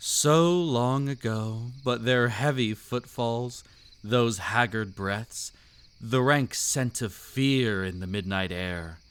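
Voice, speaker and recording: A man's voice: mid-range voice, slow, fairly bright tone, clear, resonant tone. A man voicing a suave, predatory old vampire count in a scripted roleplay audio, with an aristocratic, silky, teasing and theatrical delivery. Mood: relishing, sinister.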